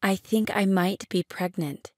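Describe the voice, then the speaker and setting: A woman reading short English phrases for a language lesson, saying each one three times, slowly and clearly. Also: medium pitch, darkish tone, dry recording, studio quality. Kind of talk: slow repeated phrase reading.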